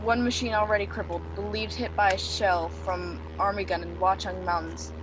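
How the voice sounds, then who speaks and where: A woman's voice: mid-range voice, fairly even intonation, normal speed, over music, natural voice, poor audio. Woman as terse bomber pilot over backing sound. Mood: grave, controlled. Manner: terse, telegraphic. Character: grave military bomber pilot radioing doomed attack report. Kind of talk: radio play acting. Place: outdoors beside a wooden building.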